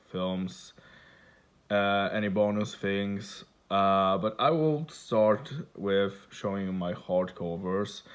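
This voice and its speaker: Man, slow, hesitant, lots of fillers, low-pitched, casual vlog talk. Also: clean tone. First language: Polish. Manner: hesitant, many fillers and restarts. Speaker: book collector vlogger. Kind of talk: casual show-and-tell.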